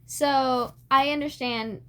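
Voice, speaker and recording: A woman's voice: sits high, fairly even intonation, takes it slowly, sharp tone. A twenty-year-old woman in a casual podcast chat, talking in a giggly, rambling way with lots of "like". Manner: giggly, rambling, heavy use of "like". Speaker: podcast host.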